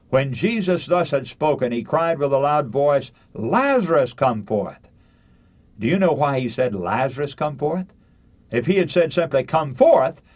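An older man delivers a radio sermon in a mid-pitched voice with very lively, swooping intonation. He speaks emphatically, building points through repetition and rhetorical questions, and reads scripture aloud. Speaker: radio preacher.

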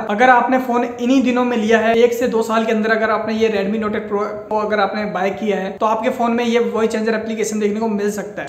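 A man, explaining things casually and repetitively straight to his viewers. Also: very high voice. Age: 20s. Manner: repetitive, addresses viewers directly. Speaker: YouTuber. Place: small indoor room with bare wall. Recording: echoey small room.

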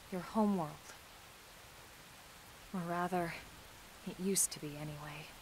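A slightly low-voiced female soldier played by an actress in a sci-fi game, composed and reassuring. She speaks in a steady, matter-of-fact way, with faint rain behind her. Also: slight background noise, lightly acted, rough recording. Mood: subdued, grave. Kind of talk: video game cutscene acting.

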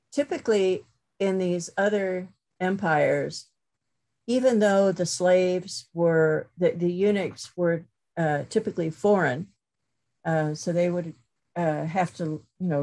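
A middle-aged woman professor giving a thoughtful webinar talk over a video call, pausing with many fillers and restarts. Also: sits low, vocal fry, a little mellow. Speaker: guest lecturer, professor.

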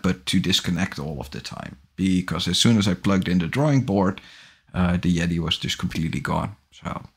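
A man in his forties hosting a casual livestream from a small home office, talking into a studio microphone in a relaxed, rambling way with a low voice. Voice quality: low husky voice. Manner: relaxed, rambling, self-correcting. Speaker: livestream host. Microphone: large studio microphone on boom arm, headphones.

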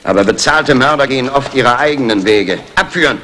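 A man's voice: medium pitch, animated, brisk, bright, forceful tone, slight background noise. A man playing an authoritative Scotland Yard inspector giving clipped orders in a 1960s radio crime drama. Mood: commanding. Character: brisk, commanding male Scotland Yard inspector.

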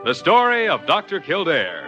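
A man's voice: on the high side, huge pitch swings, normal speed, lo-fi sound. A man announcing a radio drama in scripted 1950 broadcast style. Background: loud music.

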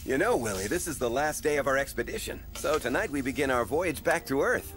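An actor playing a friendly explorer father on a spaceship in an animated film, with an encouraging, instructive manner. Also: mid-range voice, a little fast, close to an everyday voice. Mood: warm, upbeat. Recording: music behind the voice.

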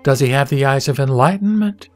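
Buddha, male actor: serene scripture teacher, didactic delivery, mid-pitched, soft music underneath. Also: animated, fairly quick, natural voice. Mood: questioning, instructive. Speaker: sutra audio drama reader. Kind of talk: scripted scripture dialogue recitation.

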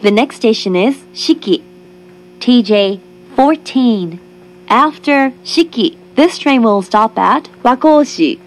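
A woman reading formal, clearly phrased scripted train announcements, recorded from a carriage speaker. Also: on the high side, expressive, drawn-out words. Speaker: automated English train announcer.

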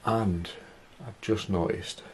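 Excited man exploring an old house. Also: fairly low pitch, takes it slowly, a little hiss or hum. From England. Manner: excited, awestruck exclamations while walking around. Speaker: urban explorer vlogger. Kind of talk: casual exploring commentary. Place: abandoned house and garage. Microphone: handheld camera mic.

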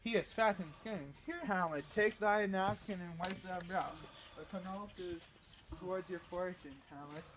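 Young guy doing a willful doting queen, high sharp voice, amateur shakespeare outside, faint noise. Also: normal speed. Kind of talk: amateur Shakespeare dramatic performance. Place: outdoors in a residential backyard. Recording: distant, slightly noisy outdoor camera microphone.

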